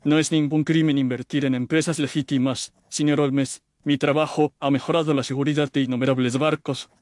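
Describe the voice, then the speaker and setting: A man's voice: fairly high pitch, little pitch movement, brisk, darkish tone, no room sound, studio quality, lightly acted. A man playing a defensive, haughty engineer in an audio drama, formal and self-justifying. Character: defensive, haughty male engineer accused of sabotage.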